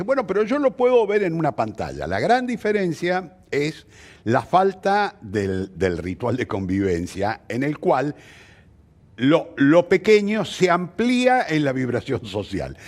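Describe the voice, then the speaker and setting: Brief: Argentine man, interview guest, expressive storyteller with very lively, swooping intonation on a fairly high voice. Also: measured pace. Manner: expressive, illustrates with anecdotes and acted quotes. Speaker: theatre practitioner interviewed as guest. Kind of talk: conversational interview answer.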